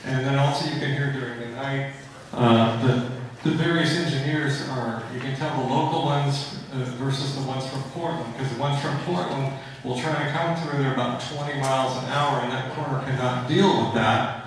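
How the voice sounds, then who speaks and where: An American man speaks as a local resident at a public hearing. His medium-pitched voice comes from some way off the mic in a strongly echoing hall. He is plainspoken and descriptive, talking off the cuff. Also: steady tone, normal speed. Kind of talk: unscripted public testimony. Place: large indoor hearing hall. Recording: distant mic, echoey hall, faint noise.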